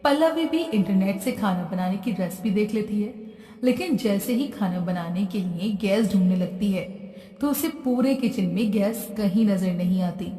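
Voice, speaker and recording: A woman's voice: mid-range voice, moderate pace, warm tone. Woman, storybook narration, plain delivery, reverberant room with long tail. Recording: roomy echo, distant from mic.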